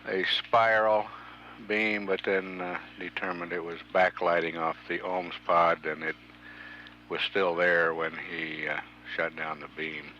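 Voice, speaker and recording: A man giving spaceflight mission commentary, halting with frequent hesitations. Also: low voice, pushed and bright, slight background noise. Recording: dull archival broadcast audio.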